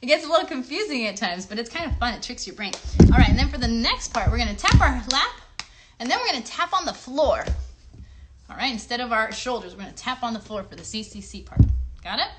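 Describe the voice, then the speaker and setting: A woman's voice: fairly high pitch, animated, quick pace. A woman hosting a children's show, giving playful, encouraging instructions straight to young viewers. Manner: encouraging, talks directly to children. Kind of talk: playful instruction and singalong for kids. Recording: clear background noise on livestream.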